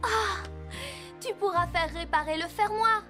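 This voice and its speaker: A woman voicing a cheerful teenage girl at a horse ranch in a cartoon dub, talking in a lively, expressive way. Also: extremely high pitch, unhurried, music in the background.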